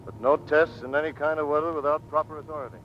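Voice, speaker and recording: An actor playing a brisk, by-the-book navy officer in an old-time radio drama. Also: male voice, on the high side, steady tone, a bit bright, natural voice. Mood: matter-of-fact. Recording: dull old radio recording, faint noise.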